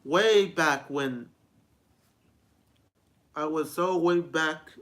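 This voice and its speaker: A man's voice: fairly high pitch, drawn-out words, a bit bright. A man in his twenties giving a rambling, repetitive personal vlog talk, often restarting his sentences as a music reviewer. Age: 20s.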